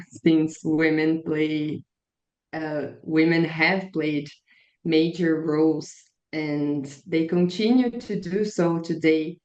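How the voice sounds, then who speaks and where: A woman in her twenties with a Brazilian Portuguese accent speaks English slowly and earnestly, in a low voice with a slight strain. Her words sound measured and prepared. The audio is roomy and a little dull. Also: darkish tone. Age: 20s. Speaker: guest, biologist and climate activist. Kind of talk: podcast interview answers. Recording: echoey room, dull lo-fi sound.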